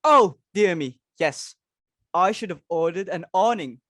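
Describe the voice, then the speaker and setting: A woman performs a social-climbing mother and hostess in a stage play. She is fussy and imperious, forever exclaiming. Her pitch leaps about in a very dry recording. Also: lively intonation, a little slow, fairly bright tone, no room sound. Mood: flustered. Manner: exclamatory, fretful, commanding.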